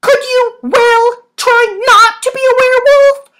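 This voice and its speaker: A woman's voice: extremely high pitch, fairly even intonation, slow pace, fairly bright tone, a little hiss or hum, pitched above the performer's own voice, full character voice. A woman voicing an anxious, pleading teenage girl in an audiobook, with urgent, disbelieving protests. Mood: distressed, pleading.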